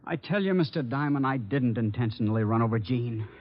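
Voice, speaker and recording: A man's voice: medium pitch, animated, fairly quick, natural voice. A mid-range young voice, acted by a man, sincere and troubled, for an old radio crime drama. He speaks guardedly, as a loyal young man in trouble. The recording is muffled. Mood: earnest, guarded. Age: 20s. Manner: earnest, guarded. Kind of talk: 1940s radio drama acting.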